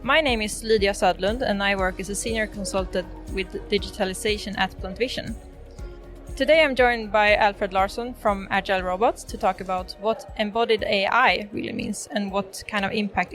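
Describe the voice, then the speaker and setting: A woman hosting a podcast interview, asking brief, measured questions. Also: medium pitch, fairly bright tone, soft background music. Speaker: podcast host, consultant. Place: live event podcast booth.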